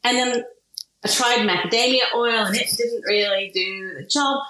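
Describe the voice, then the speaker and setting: Woman, forties, animated, laughs a lot, fairly high voice, very echoey room, faint noise. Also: normal speed. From Kansas, USA. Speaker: guest, product founder. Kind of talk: interview conversation.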